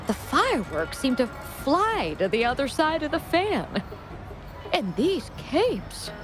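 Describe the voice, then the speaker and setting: An actress voicing a kindly, frail elderly mother in a video game, with a gentle, hesitant, unhurried manner. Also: sits high, very animated, full character voice. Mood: delighted wonder. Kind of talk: scripted video game voice acting. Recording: music playing behind the voice. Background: game music.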